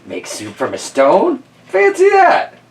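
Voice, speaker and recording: A man's voice: high-pitched, wildly swooping pitch, slow, far from the mic, pitched above the performer's own voice, heavily acted. Man voicing a guarded, incredulous little old lady.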